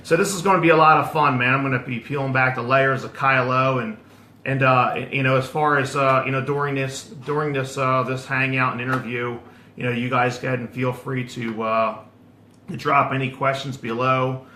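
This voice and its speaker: A man's voice: medium pitch, fairly even intonation, a little fast, sharp tone, roomy sound, slight background noise. A man hosting a casual online interview, stumbling and repeating words with many fillers. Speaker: host.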